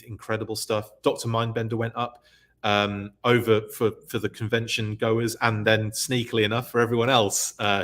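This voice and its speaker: A man with a slightly low voice hosts a live news recap stream. He rambles casually and fills his pauses with ums and uhs. His voice is starting to give out. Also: fairly bright tone. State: losing his voice. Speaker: podcast host.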